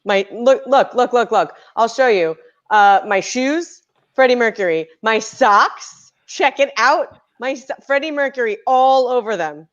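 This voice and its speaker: A woman's voice: a little high, lively intonation, measured pace. A woman playing a flustered, stammering woman in an improv comedy scene, with a dull lo-fi sound. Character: flustered fan-obsessed woman.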